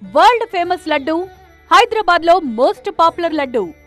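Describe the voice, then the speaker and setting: A woman's voice: very high-pitched, big pitch swings, normal speed. A woman reading scripted news narration as a reporter. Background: background music.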